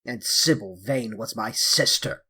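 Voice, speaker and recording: A man's voice: fairly low pitch, a little slow, slightly rough, heavily acted. A man doing a grim, vengeful sailor. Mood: menacing. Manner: loud, threatening, clipped commands. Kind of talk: audiobook character voice.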